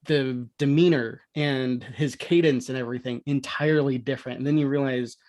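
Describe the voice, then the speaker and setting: A man's voice: mid-range voice, steady tone, normal speed, a little mellow. A man talking casually and conversationally as a panelist on a podcast. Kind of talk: casual chat.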